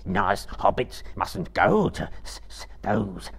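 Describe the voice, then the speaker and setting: A man voicing a wretched, pitiful creature that whines, hisses and sobs in a high raspy voice. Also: big pitch swings, slow pace, full character voice. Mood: fearful whisper. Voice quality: high whining, rasping and hissing. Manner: sobbing, whimpering, shrieking in bursts. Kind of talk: audiobook character voice.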